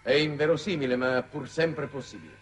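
A man's voice: mid-range voice, brisk, close to an everyday voice. A middle-aged man playing a weary, conscience-torn government envoy, grave and formal, in 1960s Italian television drama. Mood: troubled, insistent. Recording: noisy old 1960s television soundtrack.

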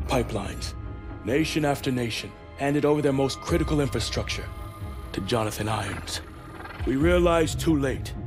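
A man's voice: medium pitch, close to an everyday voice. Man playing a grave American military commander, music behind. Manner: deliberate, terse, serious. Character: grave, resolute male special-operations commander. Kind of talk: dramatic briefing in a game cinematic.

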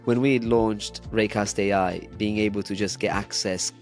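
Low-voiced man hosting a casual interview. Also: fairly even intonation, normal speed, faint music behind. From Brazil. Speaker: host interviewer. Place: small indoor home studio. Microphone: close desk microphone.

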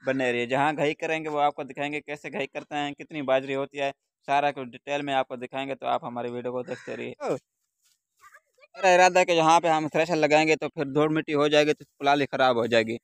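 A man's voice: on the high side, fairly quick, clear, resonant tone, no echo. A young man from Pakistan talking to a handheld camera outdoors in a sunny farm village, chatting casually to his viewers and repeating stock vlog phrases as he works. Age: young adult. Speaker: village vlogger. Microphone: handheld camera at arm's length.

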